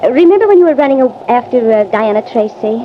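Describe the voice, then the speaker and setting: Muffled and noisy like vintage radio, a woman acts an ambitious young society wife. Her high voice swoops with breezy, theatrical insistence, domineering and scheming in 1950s drama style. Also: animated, fast, lightly acted. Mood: smug, scheming.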